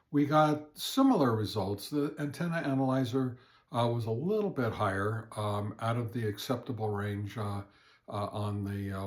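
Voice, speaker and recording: A man presenting an informal hands-on product review as an amateur radio hobbyist, speaking in a halting, hesitant way. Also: mid-range voice, dry recording.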